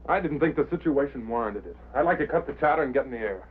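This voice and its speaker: A man plays a no-nonsense pilot in an old film drama. He talks fast and curtly at a middling pitch. The narrow soundtrack carries clear engine noise. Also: animated, lightly acted. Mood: businesslike. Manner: curt, businesslike. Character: terse, no-nonsense helicopter pilot. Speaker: actor. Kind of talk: mid-century American film drama acting.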